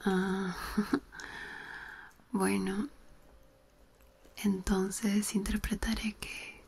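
A woman's voice: medium pitch, little pitch movement, gravelly, darkish tone, a little hiss or hum. A woman whispering as a flirty cousin. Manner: whispering close and slowly. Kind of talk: ASMR whispered roleplay. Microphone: close binaural mic.

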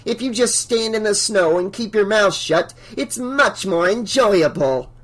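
A narrator voicing a haughty, spiteful older rich woman in an audiobook, with shrill, imperious, scornful delivery. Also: animated, unhurried, fairly bright tone. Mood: smug contempt.

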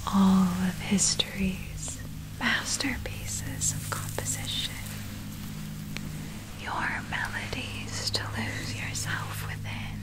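A woman voicing a seductive, possessive vampire in an ASMR roleplay, with a breathy whisper and deliberate, hypnotic, ornate phrasing. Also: medium pitch, rough recording. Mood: tender, enticing. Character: seductive, possessive vampire turning a captive into immortal.

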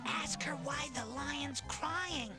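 A nervous little animal sidekick in a children's puppet game. It talks in a very high, slow voice that rises and falls a lot, with music behind. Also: female voice, gravelly, exaggerated voice. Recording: music under the voice.

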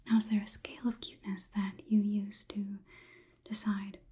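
A young woman whispering an ASMR roleplay close to the microphone as a detached robot overlord, in a deliberate, inquisitive, clinical manner. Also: mid-range voice, completely flat delivery, mellow, breathy edge. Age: young adult. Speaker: ASMR performer.

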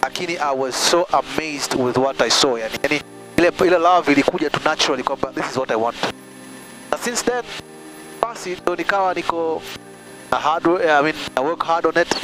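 A man's voice: a little high, a little fast, over music, low-quality recording. Chatty young man talking in a plane cockpit. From Tanzania. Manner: chatty, laughing, switches languages often. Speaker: pilot and vlogger. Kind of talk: casual storytelling mixing Swahili and English. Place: small aircraft cockpit in flight. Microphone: headset boom mic.

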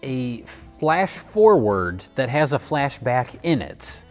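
A man's voice: mid-range voice, big pitch swings, takes it slowly, soft background music. A man talking casually as a panelist on a film review show.

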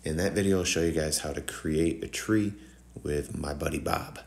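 A man's voice: a bass voice, fairly even intonation, normal speed, creaky. A man with a slightly nasal, congested morning voice giving a casual, rambling tutorial voice-over straight to viewers. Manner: informal, rambling, addresses viewers directly. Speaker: host of woodworking tutorial channel.

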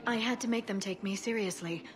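An actress playing a prim, earnest alien information broker, precise and easily exasperated, in a video game. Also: female voice, mid-range voice, fairly quick, a crowd in the background, close to an everyday voice. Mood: defensive. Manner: precise, indignant, reserved.

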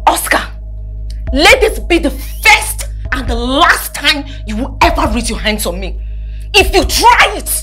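Defiant young woman, extremely high pitch, animated, forceful repetition, heated film drama. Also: measured pace, faint music behind, full character voice. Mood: defiant threat. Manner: forceful, repeats phrases emphatically. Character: furious, defiant young woman confronting an abusive household.

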